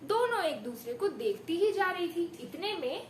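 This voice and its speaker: A woman teaching young children in an expressive storytelling style, with theatrical emphasis and rhetorical questions. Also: very high-pitched, normal speed. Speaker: primary school teacher giving a demo lesson. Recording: echoey room with background noise.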